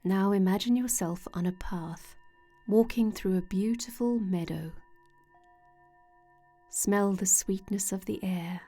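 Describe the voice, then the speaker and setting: A woman leading a scripted guided meditation in a gentle, soothing way with measured pauses. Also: medium pitch. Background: soft meditation music with singing-bowl tones.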